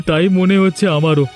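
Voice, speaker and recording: A man voicing a greedy, spiteful village schemer in a cartoon, gleeful and mocking, with music behind him. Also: high voice. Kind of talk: exaggerated cartoon villain dialogue.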